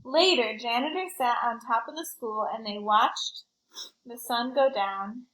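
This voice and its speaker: A woman reading a storybook aloud in an expressive, child-directed way, with chatty asides to her young listeners. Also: a little high, unhurried, reverberant, low-quality recording. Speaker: school librarian reading aloud.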